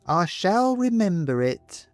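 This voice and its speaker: Guy doing a china lady for a storybook, motherly, stoic, plain talking, bit higher voice. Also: sing-song, slow pace, no room sound, faint music behind, heavily acted. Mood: reassuring. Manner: plain-spoken, reassuring. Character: stoic china woman, protective mother, village-raised. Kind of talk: storybook character voice in audiobook.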